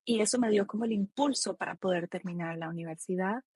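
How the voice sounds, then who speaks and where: A Costa Rican woman speaks with a husky voice, close and dry in the recording. She is an expert guest, explaining things step by step in a reflective, conversational way. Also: medium pitch, fast talker, darkish tone, no room sound. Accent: Costa Rican Spanish. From Costa Rica. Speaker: expert guest, psychologist and author.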